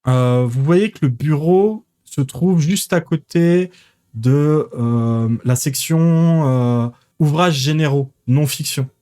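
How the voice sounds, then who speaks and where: A man's voice: fairly high pitch, slow pace, mellow. Hesitant man narrating as game master. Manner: hesitant, many fillers.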